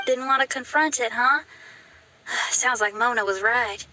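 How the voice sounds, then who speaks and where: Bright, brash young adventurer. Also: female voice, a little high, a little slow, a little hiss or hum, poor audio. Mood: wry. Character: brash, laid-back young woman adventurer. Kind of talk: anime game voice acting.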